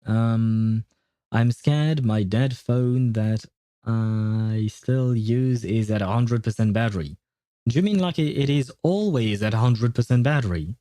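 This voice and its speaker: Mellow-voiced male game streamer. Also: medium pitch, measured pace, dry recording, clean studio sound. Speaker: livestreamer. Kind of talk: casual gaming livestream chat, answering viewer questions.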